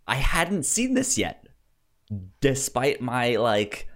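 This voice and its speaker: A man co-hosting a podcast in casual chat, halting and often repeating words as he goes. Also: medium pitch, huge pitch swings, fairly bright tone. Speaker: podcast co-host. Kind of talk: casual movie discussion chat.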